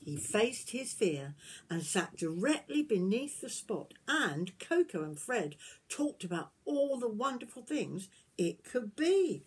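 A woman in her sixties reads a picture book aloud as a granny would to young children, adding gentle questions and asides to them. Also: fairly high pitch, very animated, slow, breathy edge, poor audio. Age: 60s. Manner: gentle questioning, addressing young listeners directly. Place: small wood-panelled garden room, indoors.

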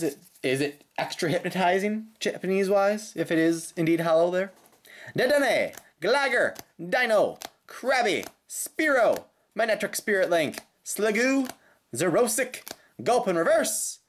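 Lively low-voiced woman, slightly raspy. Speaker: host of trading card pack openings. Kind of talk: casual unboxing commentary. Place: indoors at a tabletop.

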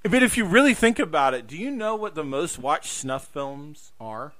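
A man's voice: on the high side, very animated, normal speed, fairly bright tone. A man hosting a casual podcast conversation, speaking emphatically and repeating himself to drive points home. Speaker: podcast host.

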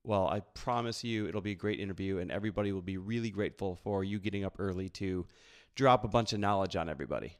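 A man's voice: sits low, fast. A man hosting a podcast, introducing his guest with enthusiastic praise and asking about her background. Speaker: podcast host. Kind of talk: upbeat podcast intro and interview.

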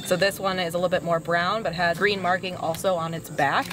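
A woman's voice: lowish voice, fairly even intonation, brisk. A woman explaining things casually as a field researcher working outdoors. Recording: noisy outdoor field sound.

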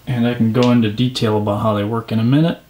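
Man, medium-pitched voice, video host, hands-on repair tutorial narration. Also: little pitch movement, fast talker, a little mellow, a little hiss or hum.

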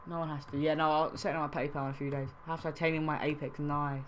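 A man's voice: on the high side, level tone, fast, a little hiss or hum. A young man from Wales streaming a game trading session, chatting casually and reacting to his live chat.

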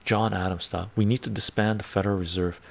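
An older man from western Canada hosting a casual live stream from his home study on a lapel mic, talking emphatically, often adding "right?" and sometimes dropping to a soft whisper. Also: on the low side, fairly even intonation, fast talker, creaky. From born in Iran, raised in western Canada. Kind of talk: casual live stream talk reading chat. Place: home study lined with bookshelves.

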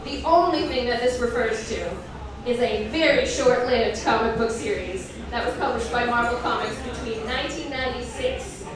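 High-voiced woman, echoey live comedy reading. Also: normal speed. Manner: self-deprecating, nerdy enthusiasm; mock-dramatic reading broken by deadpan commentary. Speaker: performer reading fan fiction on stage. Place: indoor live comedy reading event before an audience. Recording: roomy echo, distant mic, audience crowd noise. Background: audience laughter.

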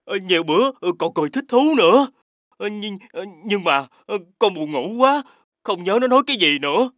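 A man voicing a meek, dim, fawning servant in an animated folk tale, with a hesitant, deferential delivery. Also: sits high, brisk, no echo, clean studio sound. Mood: sleepy, flustered.

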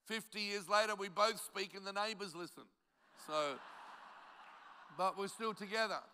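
A man's voice: high-pitched, moderate pace, pushed and bright, big-room sound, slight background noise. A man in his seventies, a pastor raised in Australia by Italian parents, preaching a conference sermon with self-deprecating stories and emphatic exhortation. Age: 70s.

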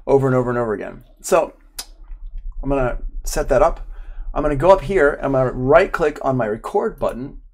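Guy teaching audio software on a livestream, middle-aged, chatty, kinda thinks out loud as he clicks around. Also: mid-range voice, animated, fairly quick. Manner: chatty, thinks aloud while demonstrating. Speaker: audio production instructor. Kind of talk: informal live online software lesson. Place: indoor room at home, live stream.

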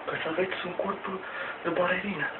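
A man's voice: sits high, steady tone. Rambling man on tinny, noisy distant webcam. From Romania. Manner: rambling, halting, mild swearing. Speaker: amateur exercise demonstrator. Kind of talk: casual home vlog, improvised exercise demonstration. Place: small room at home. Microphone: webcam mic at a distance.

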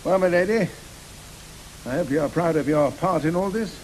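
An actor playing a stern, stubborn gentleman author in a radio drama, speaking formally and indignantly with a British accent. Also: on the high side, normal speed, slight background noise, lightly acted, low-quality recording. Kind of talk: studio radio drama acting.

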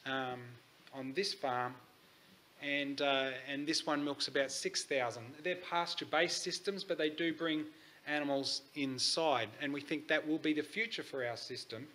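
A man's voice: a little high, fairly even intonation, moderate pace, pushed and bright. An Australian man giving a conference presentation, talking in a hesitant way with frequent ums. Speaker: conference speaker. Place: conference hall.

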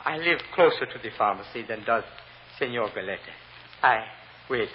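A man playing a humble, earnest immigrant grocery clerk with a Spanish accent, testifying in halting, deferential broken English in a vintage radio drama. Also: mid-range voice, animated, natural voice. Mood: anxious, sorrowful. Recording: muffled old radio recording, hiss, long reverb.

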